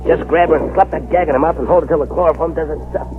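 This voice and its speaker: An actor playing a ruthless, bossy gangster who gives curt orders in an old radio drama. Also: male voice, on the high side, racing, lightly acted. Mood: scheming. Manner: gruff, bossy, menacing. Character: ruthless male gangster boss. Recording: muffled scratchy old radio broadcast with faint hiss.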